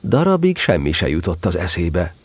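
A man narrating a scripted children's story with expressive, theatrical storytelling delivery. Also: low-pitched, no room sound.

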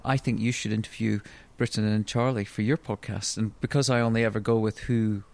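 A man hosting a podcast interview, asking questions in a measured, polite way. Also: on the low side, steady tone, creaky, a little mellow. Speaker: podcast host.

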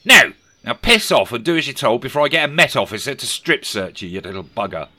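Brief: male narrator playing a shrill, manic miser, bright tone, storybook. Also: big pitch swings, normal speed, a little hiss or hum, heavily acted. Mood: gleeful. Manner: shouting, exclaiming, manic glee. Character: gleeful scheming male miser, loud and theatrical.

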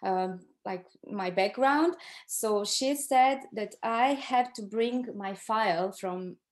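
A middle-aged woman with a Croatian accent and a mid-pitched voice. She speaks as a guest sharing her own experience in conversation. Her English is a second language, and she often halts to find the right word. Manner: halting, searching for words in second-language English. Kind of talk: conversational personal storytelling.

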